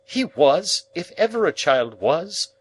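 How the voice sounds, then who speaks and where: A man's voice: on the high side, big pitch swings, unhurried, pushed and bright, slight background noise, voice pushed up, heavily acted. A man voicing a severe, scolding woman who speaks emphatically and sharply.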